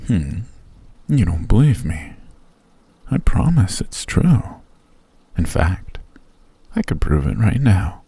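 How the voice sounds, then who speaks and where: Guy doing a creepy-polite elf in his moving house, super low rough voice, lively pitch. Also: slow, pauses often, no room sound, full character voice. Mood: playful. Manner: genteel, teasing, softly menacing. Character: reclusive elf man, wealthy, mysterious, teasing. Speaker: voice actor. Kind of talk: ASMR roleplay.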